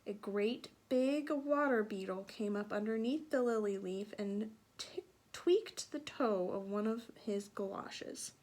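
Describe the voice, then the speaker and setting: A woman reading a picture book aloud for children, slowly and deliberately, with sing-song emphasis. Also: medium pitch, a little mellow. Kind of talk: read-aloud children's storytelling.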